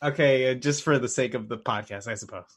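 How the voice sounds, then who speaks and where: A man's voice: medium pitch, fast talker, breathy edge. A man co-hosting a podcast, bantering in an informal, playful way. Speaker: podcast co-host. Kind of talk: casual podcast banter about games and films.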